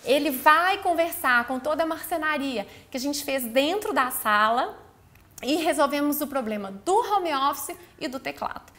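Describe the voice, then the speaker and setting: A high, bright female voice with a Brazilian Portuguese accent. She explains a project informally, like an architect walking viewers through her work. Her delivery is chatty and peppered with affectionate diminutives. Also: animated, moderate pace. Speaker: architect presenting her interior design project.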